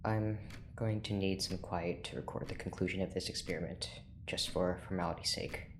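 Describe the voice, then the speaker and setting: A low-pitched, irritable male scientist boss played by an actor in an audio drama. He is weary and curt, with a dry sarcastic edge, over noticeable noise. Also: fairly even intonation, normal speed, natural voice.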